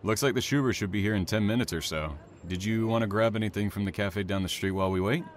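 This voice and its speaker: An actor playing a calm, courteous, quietly intense young man in an audio drama, speaking in a measured, understated way. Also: on the low side, natural voice. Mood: friendly, easygoing. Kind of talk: naturalistic audio drama acting.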